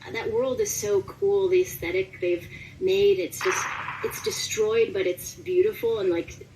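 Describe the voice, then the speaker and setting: A woman's voice: medium pitch, steady tone, measured pace, far from the mic, noisy background. A woman chatting casually and animatedly as an interviewed voice actor. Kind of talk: casual chat.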